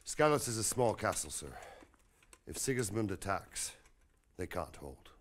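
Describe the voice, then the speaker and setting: Very deep-voiced, stern knight. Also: male voice, sing-song, measured pace, slight background noise. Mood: formal, dutiful. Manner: formal, stern. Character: gruff, dutiful knight serving a castle lord. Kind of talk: dramatic video game cutscene acting. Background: music.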